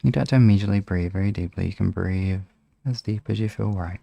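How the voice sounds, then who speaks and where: Man, dark relaxed tone, vocal fry, soft gentle instructions, ASMR hypnosis host, dry sound. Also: lowish voice, fast talker, no echo.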